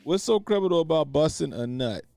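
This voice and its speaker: A man hosting a live reaction stream, cursing freely and laughing at what he watches. He drops into low mutters and then bursts out loud. His voice is dry and close, with faint music behind. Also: animated, fairly quick, gravelly, no room sound. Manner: crude, laughing, swings from mumbled asides to loud outbursts. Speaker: stream host. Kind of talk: casual reaction commentary.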